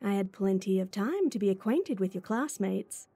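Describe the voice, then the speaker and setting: A woman's voice: mid-range voice, expressive, normal speed, warm tone, breathy edge, no room sound. A woman voice actor playing a playful, teasing, clingy schoolgirl childhood friend in a chatty ASMR roleplay.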